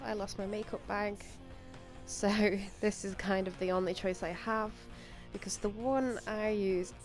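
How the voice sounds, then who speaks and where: A woman's voice: medium pitch, darkish tone. A young woman with a slightly stuffy voice from a cold, rambling casually through a makeup tutorial with plenty of ums and likes. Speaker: makeup vlogger. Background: faint music.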